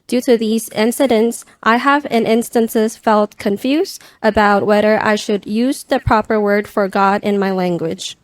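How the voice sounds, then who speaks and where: A woman's voice: mid-range voice, fairly even intonation, slow, hardly any pauses, no room sound. A woman from Indonesia, earnestly reading a prepared question aloud.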